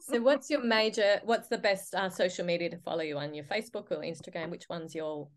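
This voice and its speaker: A woman's voice: fairly low pitch, brisk. A woman co-hosting a book podcast, talking casually and upbeat with plenty of fillers. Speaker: podcast co-host.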